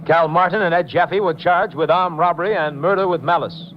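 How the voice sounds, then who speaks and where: Higher-voiced man, formal vintage radio narrator. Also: a little fast. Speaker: radio announcer. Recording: dull, faintly noisy 1950s radio recording.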